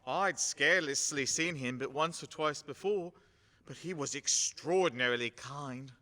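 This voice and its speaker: Radio play dad played by a man, grieving yet gentle, bright earnest delivery, long reverb. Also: on the high side. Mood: earnest, halting. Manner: tender, halting, earnest. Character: gentle, grieving, loving working-class father.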